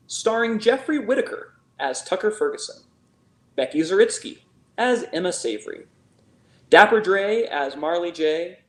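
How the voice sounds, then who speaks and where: A young man announcing a radio play in a grandiose, theatrical scripted style over a video call. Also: high voice. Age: young adult. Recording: echoey video call audio.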